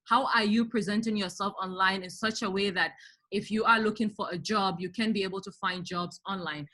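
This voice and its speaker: A woman's voice: mid-range voice, steady tone, a little fast, sharp tone. A woman hosting an online career webinar, giving motivational advice and putting pointed rhetorical questions to her listeners in an emphatic way. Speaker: webinar host and career speaker.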